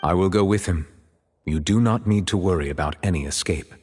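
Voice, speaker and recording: A man voicing a stately, reserved chief justice in an anime game, formal, measured and coolly courteous. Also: sits low, darkish tone, slightly rough, in a large hall. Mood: solemn.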